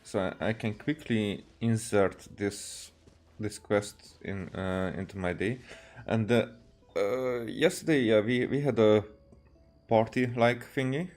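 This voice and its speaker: A man doing casual gameplay commentary as a streamer, with a halting, hesitant delivery. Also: on the low side, darkish tone. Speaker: game streamer. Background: game music.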